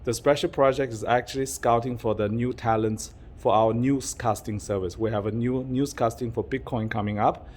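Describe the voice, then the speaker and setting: A man's voice: mid-range voice, steady tone, moderate pace, hardly any pauses, a little mellow, slight background noise. Rambling man vlogging to camera. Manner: playful mock-secretive, rambling with fillers. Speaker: YouTuber vlogger. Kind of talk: casual vlog to camera. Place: public venue with outside view.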